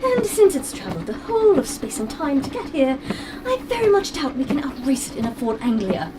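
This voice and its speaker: A woman playing a quick-witted, eccentric time-travelling adventurer in an audio drama, rambling breezily and flippantly even in danger. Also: sits high, recorded from a distance, noisy background. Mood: flippant, brisk.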